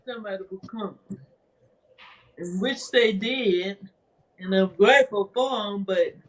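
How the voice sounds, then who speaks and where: A woman with a soft voice, speaking hesitantly in a casual interview about her own writing, with frequent laughter. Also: medium pitch. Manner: hesitant, rambling, laughs often. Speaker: interviewee, author. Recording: voice from far off the mic.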